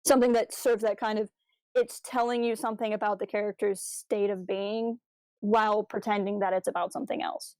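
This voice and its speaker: A woman's voice: mid-range voice, level tone, brisk, darkish tone, smooth, dry recording, low-quality recording. A woman co-hosting a podcast, chatting casually in an enthusiastic, analytical way about stories. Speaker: podcast co-host. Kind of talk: casual discussion of a fan audio drama.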